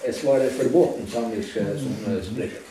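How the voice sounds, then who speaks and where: A man interpreting for a tour group, halting and hesitant, filling pauses as he searches for words. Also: mid-range voice, mellow. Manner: hesitant, many fillers and restarts. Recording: echoey room from far off with faint noise.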